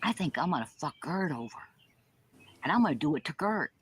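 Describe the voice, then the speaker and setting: A woman's voice: low voice, lively intonation, fairly quick, slightly rough. A woman delivering a taunting, foul-mouthed monologue.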